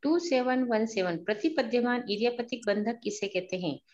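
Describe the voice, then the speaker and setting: A woman reads out numbered study questions and their answers in a steady reading voice, a little high. The sound is dull and lo-fi. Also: moderate pace.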